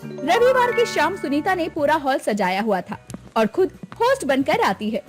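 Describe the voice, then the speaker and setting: A woman's voice: sits high, sing-song, normal speed, music in the background. A woman storyteller reading dramatic scripted narration of a family tale.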